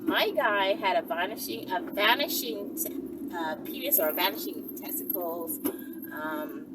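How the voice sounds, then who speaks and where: A woman talking casually and candidly to viewers as a question and answer host, with playful, drawn-out emphasis on words. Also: medium pitch. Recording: distant mic with loud traffic and vehicle noise. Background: traffic noise through an open window.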